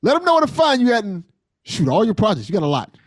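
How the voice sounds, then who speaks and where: A man's voice: high voice, wildly swooping pitch, quick pace, dry recording. A man hosting a casual interview, talking in an informal, slangy, buddy-like way. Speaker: podcast host.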